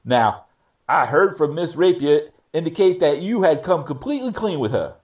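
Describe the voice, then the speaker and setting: A man reading the part of a judge in a courtroom readers theater piece, with formal, deliberate and measured legal phrasing. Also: fairly high pitch, big pitch swings. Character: male judge ruling at a probation revocation hearing.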